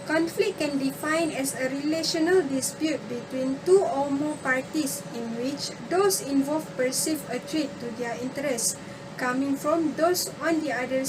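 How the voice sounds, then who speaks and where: Student, woman in her twenties, high voice, steady read-aloud, distant mic with noisy background. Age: 20s. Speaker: student presenter. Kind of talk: reading a class presentation.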